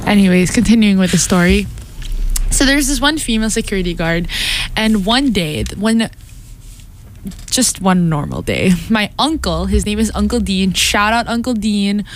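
A woman's voice: medium pitch, lively intonation, moderate pace, a little hiss or hum. A young woman with a Filipino accent, co-hosting a casual chat with friends and telling a rambling story full of fillers. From Philippines. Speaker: podcast co-host.

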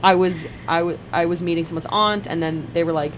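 A young woman with a deep, somewhat low-pitched voice, chatting loosely on a college radio show and giggling between her words. Her studio mic picks up a clear layer of background noise. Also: steady tone, a little fast. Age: young adult. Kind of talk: casual chat. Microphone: studio mic with headphones.

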